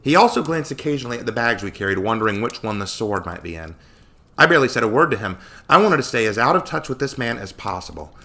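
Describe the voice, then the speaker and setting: A man narrates a story with dramatic flair. His pitch moves widely and his tone is bright and forceful. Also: very animated, quick pace. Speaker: story reader. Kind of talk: dramatic audiobook-style narration.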